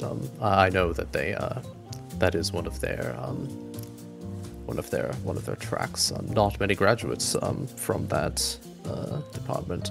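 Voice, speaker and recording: A man puts on a bookish bugbear academic for a tabletop game. The voice is low and creaky, with a halting, courteous, scholarly delivery. Music plays clearly behind it. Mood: polite. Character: absorbed, hesitant bugbear anthropologist, a bookish man.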